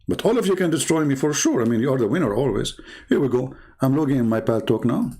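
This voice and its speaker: A man's voice: medium pitch, expressive, quick pace, mellow, breathy edge. A man with an Arabic accent hosting a livestream, challenging and mocking his viewers, his voice jumping up sharply and laughing between phrases. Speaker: livestream debate host.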